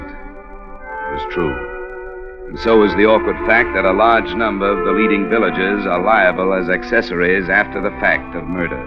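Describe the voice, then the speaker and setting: An American actor playing a hard-boiled insurance investigator, narrating in a clipped, dry, matter-of-fact way for 1950s radio drama. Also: male voice, low voice, lightly acted. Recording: muffled old radio recording with music.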